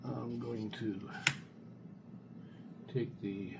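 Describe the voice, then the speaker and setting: A man hosting a casual hands-on demonstration, talking in a halting, unscripted way as if thinking aloud. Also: mid-range voice, steady tone, vocal fry. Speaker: hobbyist video host reviewing radios. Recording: noisy recording with loud background noise.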